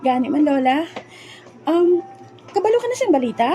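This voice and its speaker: Woman playing a high-pitched young granddaughter. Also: big pitch swings, moderate pace, mellow, a little hiss or hum, poor audio. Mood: teasing, chatty. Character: sensible young granddaughter, teasing, gently scolding. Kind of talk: comedic audio drama acting.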